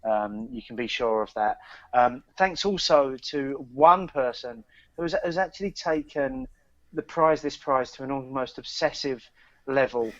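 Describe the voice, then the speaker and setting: British man podcasting, slightly dull sound. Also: medium pitch. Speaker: podcast co-host. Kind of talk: casual chat.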